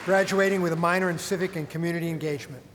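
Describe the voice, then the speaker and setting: Announcer reading names in a loud hall. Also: female voice, on the low side, fairly even intonation, racing, background noise. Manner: formal, announcing. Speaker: name announcer. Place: large hall at a graduation ceremony. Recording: echoey hall from far off with crowd.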